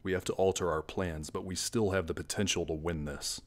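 A man playing a cold, scheming, grandiose villain in an audio drama, theatrical and deliberate. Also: low voice, fairly even intonation, natural voice. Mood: sinister, grandiose.

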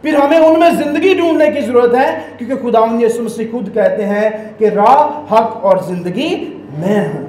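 High-voiced Pakistani priest preaching emphatically. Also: male voice, a little mellow, reverberant, low-quality recording. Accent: Pakistani Urdu. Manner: emphatic preaching with sweeping hand gestures. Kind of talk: Sunday homily. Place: small church sanctuary, indoors. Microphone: stand mic at the lectern.

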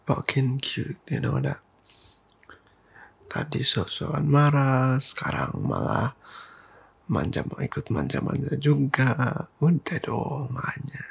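A man whispers close and slow in a boyfriend-style ASMR roleplay, his voice heavily breathy with a gravelly edge. He coaxes and teases gently, breaking into soft laughs, and lets his pitch rise and fall freely. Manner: soft, intimate whispering, coaxing and teasing, frequent quiet laughs; mixes English words into casual talk.

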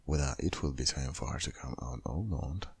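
Man acting very deep, gravelly, breathy protective boyfriend. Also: moderate pace, darkish tone, a little hiss or hum. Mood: firm, protective. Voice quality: very deep, gravelly, husky, often half-whispered. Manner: insistent, intimate, pleading. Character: protective, worried boyfriend of a pregnant woman. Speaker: audio roleplay performer. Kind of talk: boyfriend ASMR roleplay, close and breathy.

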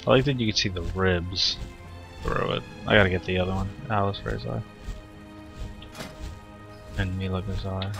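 Low-voiced man chatting over game music. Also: fairly even intonation, normal speed, gravelly, long pauses. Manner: chatty, thinks aloud while playing. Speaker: gaming streamer. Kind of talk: casual gameplay commentary. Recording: game music playing loudly behind.

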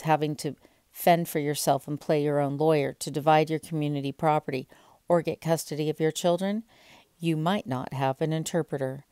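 A woman's voice: low voice, mellow, clean tone, no echo, clean studio sound. A woman in her thirties, an independent court reporter, speaking earnestly and pointedly to camera in an indoor hallway. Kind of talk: to-camera commentary.

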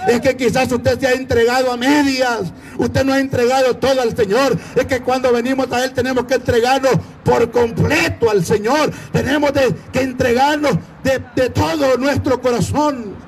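Male evangelical preacher, very high voice, Latin American Spanish accent, fervent exhortation, faint background noise. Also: steady tone, normal speed, few pauses. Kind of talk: evangelistic sermon.

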